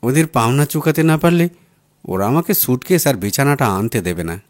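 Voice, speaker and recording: Man voicing a plaintive jobless writer, animated pitch. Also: normal speed, no room sound, studio quality, natural voice. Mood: embarrassed, pleading. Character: down-at-heel, apologetic young jobless writer, male. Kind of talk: audiobook character dialogue.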